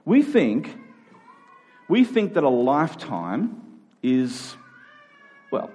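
A man's voice: a little high, big pitch swings, very slow, mellow, breathy edge. A man preaching a sermon, repeating key phrases for emphasis and checking in with his listeners.

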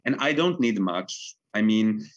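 A man's voice: on the low side, animated, unhurried. A young man from Serbia, a pianist, talking earnestly in a casual interview and often saying "like". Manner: earnest, frequent fillers like "like". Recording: dull lo-fi recording.

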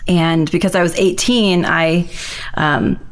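A woman from New York sharing her faith story as an interview guest, hesitant and full of pauses. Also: fairly low pitch, fairly even intonation. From New York, USA. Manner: hesitant, with frequent ums and pauses.

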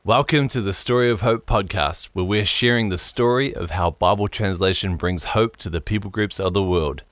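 A young man from New Zealand with a mixed New Zealand and American accent, hosting a podcast in relaxed chat and laughing easily. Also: fairly low pitch, soft background music. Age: young adult. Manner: relaxed, laughs often, halting restarts. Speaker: podcast host.

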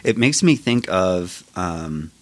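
A man's voice: sits low, sing-song, takes it slowly, no echo. A man in his thirties, a musician guest on a podcast, talking thoughtfully and hesitantly. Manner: thoughtful, hesitant, many fillers and restarts. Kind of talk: casual interview chat.

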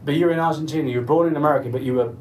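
A man's voice: fairly high pitch, very fast, darkish tone, slight background noise. A man hosting a podcast, reading a scripted intro and asking direct, probing interview questions.

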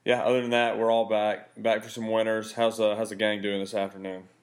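Raspy-voiced male podcast host. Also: lowish voice, little pitch movement, a little fast. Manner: laid-back, rambling. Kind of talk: casual podcast chat.

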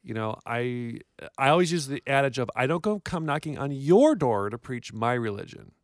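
A man's voice: medium pitch, expressive, moderate pace, no echo, studio quality. A man co-hosting a podcast, talking thoughtfully with some hesitation. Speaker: podcast co-host. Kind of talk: casual podcast chat.

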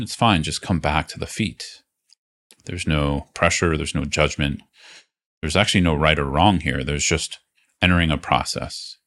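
Male meditation teacher, very deep voice, gently instructive, reassuring, leaves space between phrases. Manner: unhurried, reassuring, phrases broken by pauses.

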